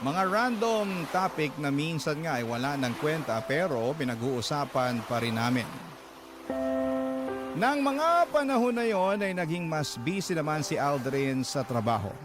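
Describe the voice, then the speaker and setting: Guy doing earnest letter narration, confiding tone, loud music bed behind. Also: fairly high pitch, big pitch swings, measured pace. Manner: confiding, earnest, addresses the host. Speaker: narrator reading a listener's letter. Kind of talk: scripted radio drama narration.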